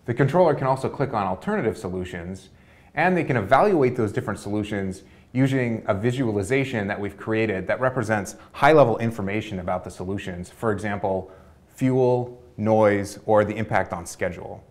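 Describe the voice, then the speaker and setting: Young male presenter, lowish pitch, clean structured demo read. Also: fairly quick. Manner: fluent, orderly walkthrough. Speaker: researcher demonstrating a prototype. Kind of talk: product demo narration. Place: dark studio with display screens.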